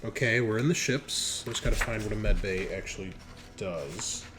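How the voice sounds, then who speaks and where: A man's voice: on the low side, moderate pace, over music. A man running a tabletop game as game master, casual and easygoing, thinking aloud as he narrates and makes rulings.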